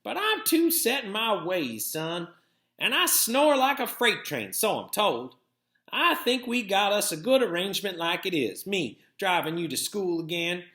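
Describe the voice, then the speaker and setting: A man's voice: high-pitched, sing-song, unhurried, sharp tone, echoey room, voice pushed up, heavily acted. A man voicing a plain-spoken, worn-out old country grandmother, blunt and folksy, in a storybook reading. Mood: firm, weary.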